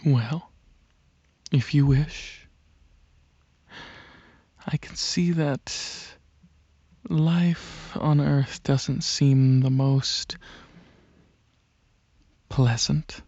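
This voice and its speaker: A man playing a gentle dragon alien prince in a soft-spoken ASMR roleplay, talking to the listener in a hesitant, soothing way with many pauses. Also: mid-range voice, steady tone, no room sound, lo-fi sound. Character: gentle dragon alien prince, centuries-old royal researcher, softly coaxing.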